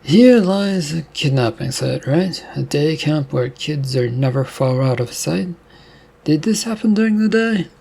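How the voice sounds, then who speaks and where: A man's voice: on the high side, lively intonation, unhurried, creaky, warm tone, slightly rough, full character voice. A man reading a skeptical female detective. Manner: probing, skeptical.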